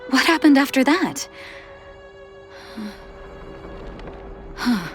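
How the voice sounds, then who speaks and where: A woman playing a gentle, weary female doctor in a video game, speaking softly with tender, measured grief and sighs. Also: sits high, sing-song. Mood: searching, troubled. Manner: soft, measured, sighing delivery. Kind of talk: video game voice acting, dramatic dialogue. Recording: clean voice over background music.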